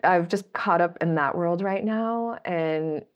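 A woman in her thirties talks as a podcast guest, her voice raspy and hoarse from a lingering cold. It sits a bit low, and she is chatty and open, laughing often. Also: slow, lo-fi sound. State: hoarse, getting over a cold. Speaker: guest illustrator. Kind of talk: casual interview chat.

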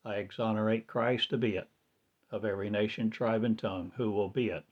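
A man delivering a slow, trance-like, grandiose spoken-word monologue in repetitive, incantatory phrasing. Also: fairly low pitch, steady tone. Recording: dull lo-fi recording.

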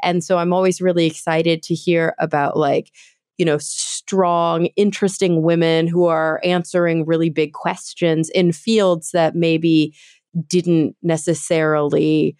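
Podcast host, woman; eager and chatty, lower voice, dry recording. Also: level tone, measured pace, a little mellow, dry recording. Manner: enthusiastic, chatty. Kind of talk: interview conversation.

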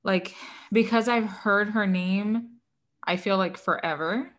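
A woman's voice: medium pitch, slow. A woman from Puerto Rico co-hosting a podcast in casual chat, chatty and laughing often, with lots of "like.". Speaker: podcast co-host.

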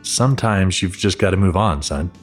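Actor as a deep-voiced, easygoing professor dad. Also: male voice, normal speed, soft background music, lightly acted. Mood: tired, easygoing. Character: easygoing, stubborn middle-aged professor father. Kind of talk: conversational audio drama acting.

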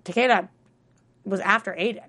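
A woman's voice: medium pitch, normal speed, a bit bright. A woman co-hosting a casual TV recap chat, chatty and full of questions, laughing often. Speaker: co-host.

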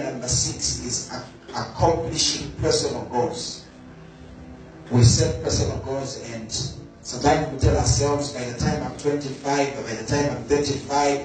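Male preacher, measured emphatic delivery; distant mic in a very reverberant room. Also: mid-range voice. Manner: slow, emphatic, repeats key words. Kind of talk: sermon. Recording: very echoey room, voice from afar, faint music.

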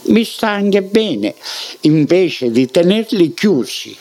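A man, co-hosting a studio radio show, speaking in a measured way with pauses. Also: high voice, sing-song, a little mellow. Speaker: radio co-host. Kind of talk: studio commentary.

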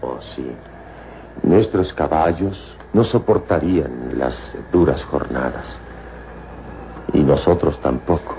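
A man playing a noble, commanding adventurer in an old radio serial, speaking calmly in formal, measured, poetic phrases. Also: a bass voice, heavily acted. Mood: grave, resolute. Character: noble adult adventurer hero, calm and commanding. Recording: muffled, noisy old radio serial recording.

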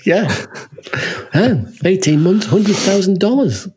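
A husky, slightly breathy man chatting casually as a podcast co-host. He laughs a lot and keeps checking in with a quick "huh?". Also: high-pitched, expressive, darkish tone. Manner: chatty, laughs often, tags lines with "huh?". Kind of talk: casual chat.

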